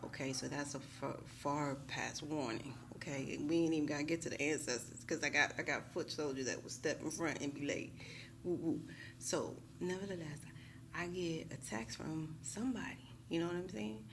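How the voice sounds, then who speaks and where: Low, gravelly American woman. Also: normal speed, background noise. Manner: animated, emphatic, slangy, often checking with "right". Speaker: YouTube tarot reader. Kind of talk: casual storytime talk to viewers.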